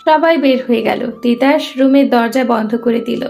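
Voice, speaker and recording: A woman's voice: a little high, normal speed, creaky, darkish tone, faint music behind. A woman narrating a scripted romantic story.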